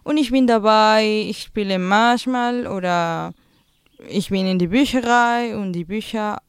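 A woman's voice: mid-range voice, a little slow, clear, resonant tone, no room sound, studio quality. A young woman with a Peruvian Spanish accent, a native Spanish speaker, answering in a casual interview while searching for her German words. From Peru. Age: young adult. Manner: hesitant, searching for German words with many fillers. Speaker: guest, school volunteer.

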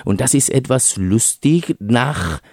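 A man's voice: medium pitch, expressive, slow, darkish tone, no echo, studio quality. A man from Peru, a native Spanish speaker with a Peruvian accent, giving a radio interview as a guest and speaking hesitantly with many fillers.

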